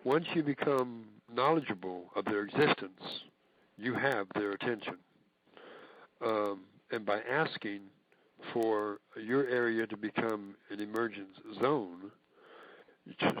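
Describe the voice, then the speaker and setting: A man speaking on a recorded conference call, talking haltingly with many fillers and restarts. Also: on the low side, fairly bright tone, no room sound, rough recording. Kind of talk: casual talk on a conference call.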